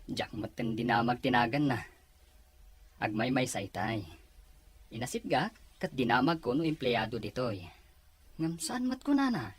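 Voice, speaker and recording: A man plays a gossipy elder brother who loves to tease. His mid-pitched voice swings widely up and down in an Ilocano accent, chatting in audio drama style. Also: moderate pace, pauses often, husky, no room sound. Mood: teasing. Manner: teasing, animated.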